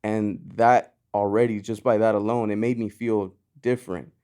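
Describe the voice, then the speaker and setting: A man's voice: fairly low pitch, little pitch movement, moderate pace, dark tone, clear, resonant tone. A man born in Mexico, a podcast guest talking loosely in casual chat, with lots of fillers and restarts. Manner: loose and rambling, lots of "you know" and "like", frequent restarts. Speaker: guest, clothing brand founder.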